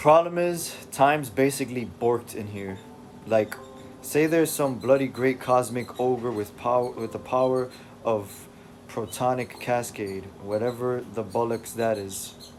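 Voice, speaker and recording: Man reading as a sardonic young male psychic with a head full of trouble, slow and wry. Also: medium pitch, slight background noise, close to an everyday voice. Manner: wry and sardonic, sprinkled with British slang.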